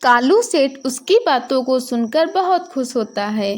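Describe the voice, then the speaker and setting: A woman's voice: high voice, expressive, a little slow, darkish tone. A woman narrating a scripted moral bedtime story for children.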